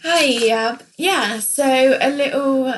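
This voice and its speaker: A woman's voice: high-pitched, takes it slowly, slight background noise. A young woman from England, co-hosting a podcast in a casual, open, chatty way with many fillers. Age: young adult. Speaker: podcast co-host.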